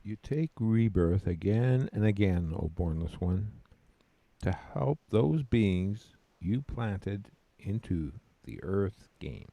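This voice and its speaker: A man playing a patient, deadpan companion to an immortal, in a measured scripted audio drama style. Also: on the low side, creaky, no echo, highs cut off, heavily acted.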